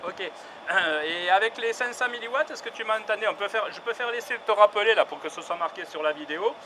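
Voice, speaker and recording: A man's voice: high voice, fast, noisy background. A man doing a casual radio check outdoors with handheld radios, talking with lots of hesitations and fillers. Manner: hesitant, many fillers and restarts. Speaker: radio hobbyist testing handhelds. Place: outdoors on a high building in the city. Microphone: handheld radio and camera.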